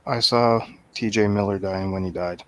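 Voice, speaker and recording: Male panel guest, lowish pitch, folksy everyday phrasing, informal movie discussion. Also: steady tone, moderate pace. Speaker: guest on a movie review show. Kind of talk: casual movie review chat.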